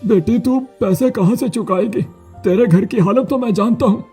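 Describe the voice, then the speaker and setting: A man's voice: high voice, moderate pace, warm tone, breathy edge, faint music behind. A man voicing a poor, regretful older villager in a cartoon story. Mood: reluctant.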